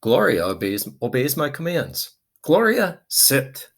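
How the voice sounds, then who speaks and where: A man's voice: medium pitch, very animated, slow pace, creaky, voice pushed up, exaggerated voice. A man voicing an earnest police officer who declaims safety tips emphatically in a storybook reading. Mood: earnest, commanding.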